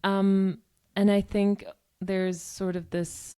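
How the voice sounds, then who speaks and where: A woman's voice: mid-range voice, flat delivery, takes it slowly, mellow, clear, resonant tone. A woman co-hosting a discussion podcast, talking in long, thoughtful stretches full of "um" and "you know". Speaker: podcast co-host.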